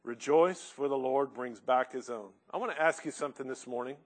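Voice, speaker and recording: A man preaching a sermon, loud and earnest, reading hymn verses with rising force. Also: mid-range voice, brisk.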